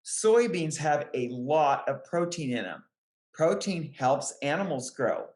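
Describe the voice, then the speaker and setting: A woman reading a picture book aloud to young children, with careful, deliberate phrasing. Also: low-pitched, big pitch swings, a little mellow. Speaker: children's book reader, extension educator. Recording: roomy, echoing sound.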